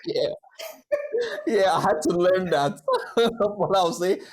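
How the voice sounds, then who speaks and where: A man's voice: high voice, slow pace. A man, a voice actor chatting playfully as an interview guest with humorous asides. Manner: animated, playful.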